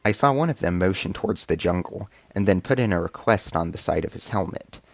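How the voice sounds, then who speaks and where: A man playing a grave alien rebel squad chief, narrating his war story in a formal, measured way for an audio drama serial. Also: sits low, animated, gravelly, no echo. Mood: focused. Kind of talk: first-person scripted sci-fi narration.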